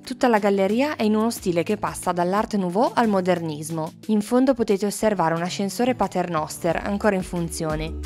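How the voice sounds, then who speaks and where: A woman's voice: medium pitch, a little fast, hardly any pauses, a little mellow. A woman narrating a travel vlog in a scripted style. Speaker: travel vlogger. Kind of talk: scripted travel narration with short casual asides. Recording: music under the voice. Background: background music.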